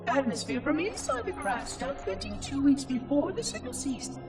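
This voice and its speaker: An actor playing a small floating machine caretaker who talks in a precise, formal and oddly cheerful way. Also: male voice, extremely high pitch, wildly swooping pitch, normal speed, gravelly, far from the mic, over music, exaggerated voice. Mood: solemn. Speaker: monitor of an installation. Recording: game score playing behind.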